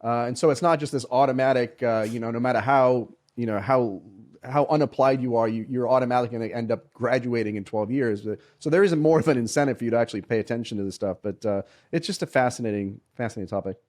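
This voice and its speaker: A man's voice: mid-range voice, fast talker, darkish tone. A man from Chile co-hosting a podcast, speaking hesitantly with many restarts. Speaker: podcast co-host. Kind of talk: casual discussion.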